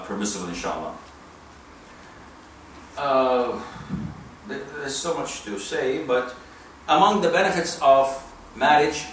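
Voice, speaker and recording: A man from Saudi Arabia, giving a religious lecture as an Islamic preacher in an emphatic, deliberate way with rhetorical questions. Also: medium pitch, fairly bright tone. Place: mosque hall, talk to an audience. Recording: distant, very echoey room, faint noise.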